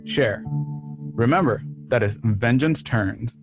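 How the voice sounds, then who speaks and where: A man's voice: mid-range voice, slow. A man delivering a scripted promo as the announcer of a radio show, with guitar music playing behind him. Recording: dull lo-fi sound under loud music.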